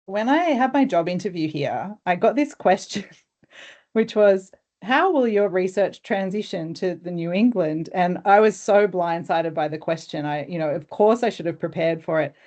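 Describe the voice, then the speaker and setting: A woman academic speaking as a guest on a video call webinar, thoughtful and hesitant, with many pauses and restarts. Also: on the low side, expressive, a little mellow. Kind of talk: webinar discussion. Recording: dull video call audio.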